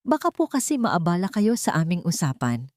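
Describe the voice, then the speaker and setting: A woman's voice: lowish voice, sing-song, a little fast, warm tone, no echo, studio quality. A woman voicing a scheming, falsely sweet daughter-in-law in an audio drama, polite on the surface but curt. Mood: cold, dismissive.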